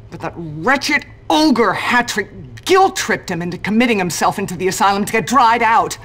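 A woman's voice: mid-range voice, lively intonation, moderate pace, fairly bright tone. A woman voicing a lovelorn, bitter young teacher in a video game, hesitant and dramatic. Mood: bitter, impassioned.

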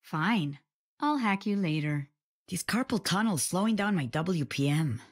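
A woman's voice: on the low side, lively intonation, unhurried, husky. A woman playing a sly, teasing female hacker in a video game.

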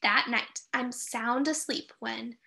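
A middle-aged woman reading a picture book aloud to young children, expressive and sing-song, pausing to put questions to her listeners. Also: fairly high pitch, gravelly. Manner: expressive, sing-song, with drawn-out key phrases and dramatic gasps.

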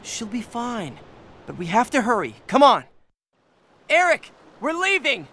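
An actor voicing a caring, anxious young penguin father in an earnest, encouraging way for an animated game. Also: extremely high pitch, measured pace, slight background noise, heavily acted. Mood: urgent.

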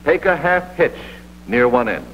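A man's voice: mid-range voice, very animated, unhurried. An American man narrating a vintage military training film in a scripted, authoritative instructional manner. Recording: dull vintage film soundtrack with hum and hiss.

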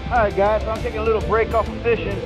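A middle-aged man chatting casually and excitedly in slang for an outdoor fishing vlog, recorded outdoors on an action camera. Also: high-pitched, very fast, over music. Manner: excitable, slangy, calls people bro and baby. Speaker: fishing vlogger. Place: outdoors on a surf beach. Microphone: body or handheld action camera.

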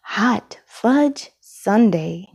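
A dramatic little boy rabbit prince with a sweet tooth, played by a woman reading a storybook. He draws out his words and exclaims them, in a slightly husky tone. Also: medium pitch, expressive, very slow, a little mellow, heavily acted. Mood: sly incantation.

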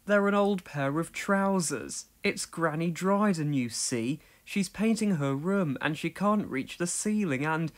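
A woman's voice: on the low side, unhurried, hardly any pauses, close to an everyday voice. A woman voicing a cheerful, friendly village postman who chats in an easygoing, good-humoured way.

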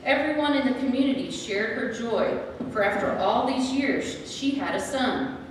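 A woman's voice: on the high side, normal speed. Woman narrating in an echoey church. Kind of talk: scripted scripture narration. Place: large church sanctuary. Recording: echoey church from far off, faint noise.

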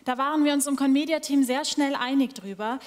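A young woman opens the evening from the stage, her voice bright and high as it rings through a large hall with long reverb. She speaks conversationally, turning straight to the audience. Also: fairly quick. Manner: conversational, addresses the audience directly. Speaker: event host. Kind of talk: staged opening speech.

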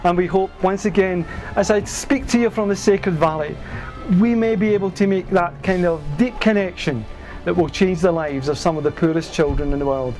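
Man, 60s, high voice, earnest outdoor appeal to camera, lapel mic, faint music under it. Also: moderate pace, a little mellow. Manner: earnest, persuasive, gestures while speaking. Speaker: charity leader making an appeal. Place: outdoors on a bench by a building in a valley.

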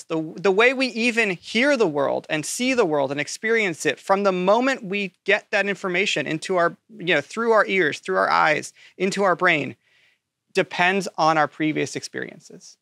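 A man with a fairly high, forward, bright voice talks casually through ideas. He is keen and a little nerdy, often checking that the listener follows. The sound is dry and close. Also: animated, moderate pace, gravelly, no echo. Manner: enthusiastic, self-described nerdy explainer with rhetorical "right?" check-ins. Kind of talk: casual explanatory talk.

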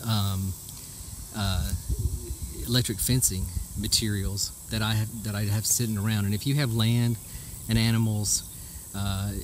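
A man giving a casual, plainspoken, folksy how-to explanation, recorded outdoors on a handheld camera. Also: fairly low pitch. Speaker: homesteader giving a how-to demonstration. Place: outdoors in a rural yard. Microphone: handheld camera or phone mic. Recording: outdoor ambient noise with insect chirping.

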